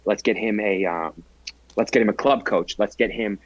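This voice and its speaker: A man's voice: on the low side, moderate pace, dry recording, highs cut off. A man in his twenties, a guest athlete telling his story in an earnest, reflective way during a casual interview. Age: 20s.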